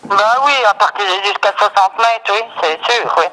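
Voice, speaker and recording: A woman's voice: mid-range voice, moderate pace, bright, forceful tone, slight background noise. A woman working as a shopkeeper, chatting casually on the telephone in a matter-of-fact, dismissive way. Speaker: fishing shop keeper. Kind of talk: casual phone conversation. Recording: reverberant phone call, radio-like sound.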